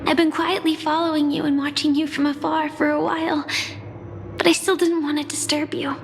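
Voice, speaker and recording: A gentle, shy young woman. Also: high-pitched, level tone, fairly quick, gravelly, a little hiss or hum. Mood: tender. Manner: soft-spoken, apologetic, at times whispery. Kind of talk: game cutscene voice acting. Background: game soundtrack music.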